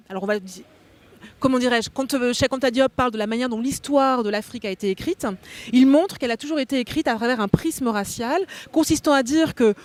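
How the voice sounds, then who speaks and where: High-voiced, articulate academic woman. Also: no room sound. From born in Belgium, raised in France. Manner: articulate, academic, explains concepts at length. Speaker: guest philosopher. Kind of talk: discussion on a cultural talk show. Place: hotel meeting room.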